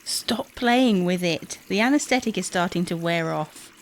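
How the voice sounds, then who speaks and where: A woman's voice: on the low side, expressive, moderate pace. A woman playing a fussing, no-nonsense middle-aged wife in a domestic audio comedy, chiding and motherly in manner. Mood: firm, caring.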